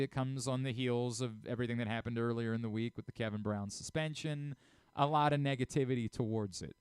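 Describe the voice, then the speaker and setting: A man hosts a sports talk show in a dry studio. His voice is clear and mid-pitched. He comments emphatically, often repeating a point to drive it home. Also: a bit bright, dry recording. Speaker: sports radio host. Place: radio studio.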